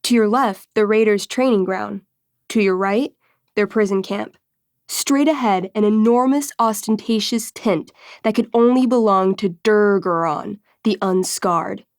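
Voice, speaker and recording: A woman's voice: mid-range voice, a little slow, darkish tone, dry recording, clean studio sound, natural voice. An actress playing an enthusiastic young woman game master in a video game, moving between theatrical storytelling and casual, wry asides. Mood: dramatic, suspenseful. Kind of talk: video game dialogue, dramatic tabletop narration.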